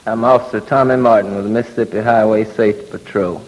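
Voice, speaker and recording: A man's voice: on the low side, fairly even intonation, fast talker, long reverb tail. A man giving a prepared report as a patrol officer, on a dull old radio recording. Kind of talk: prepared first-person report.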